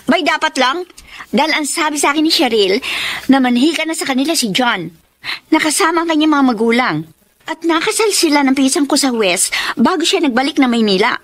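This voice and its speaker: A high-voiced young woman in a radio drama. She is a sassy student with a brash, blunt, matter-of-fact way of talking, quick to defend her family. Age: young adult. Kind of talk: Tagalog radio drama acting.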